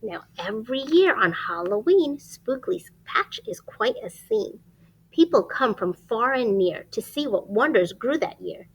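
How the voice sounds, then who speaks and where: Animated, high-pitched woman reading to young children. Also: husky, highs cut off. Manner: expressive, emphatic, pauses to ask the children questions. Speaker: storyteller reading a picture book to young children. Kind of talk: animated children's read-aloud.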